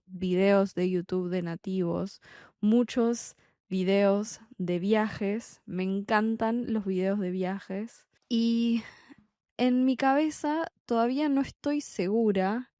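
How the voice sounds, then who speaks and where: A young adult woman from Argentina with a Buenos Aires accent, a Spanish tutor talking slowly and deliberately in a podcast for learners. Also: mid-range voice, mellow, no echo, clean studio sound. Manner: slow, deliberate, clearly spaced phrasing. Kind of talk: slow podcast monologue for learners.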